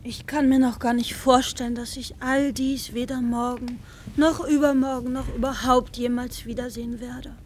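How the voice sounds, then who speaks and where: Young weary mistress, high plaintive voice, wistful, radio play, bit of ambience. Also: female voice, a little mellow, a little hiss or hum. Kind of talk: radio drama dialogue.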